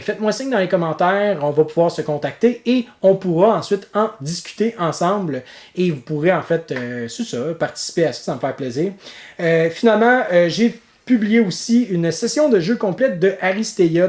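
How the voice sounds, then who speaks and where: Young Québécois man, fairly high-pitched, rambling friendly host talk in an echoey room. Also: expressive, normal speed, a little mellow. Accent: Québécois French. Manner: chatty, many fillers and restarts. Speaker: board game channel host. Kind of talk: casual monthly vlog update.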